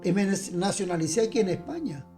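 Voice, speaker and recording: Mellow-voiced preacher over faint music. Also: mid-range voice, expressive, brisk. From Chile. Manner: emphatic, direct address to listeners, repeats phrases. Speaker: evangelical preacher. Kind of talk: informal Bible teaching.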